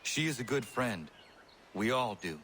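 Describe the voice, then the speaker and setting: A calm, earnest young assassin in a game cutscene. He speaks in a measured, formal way at a middling pitch, over faint background noise. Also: rough recording. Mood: calm, polite. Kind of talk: video game cutscene acting.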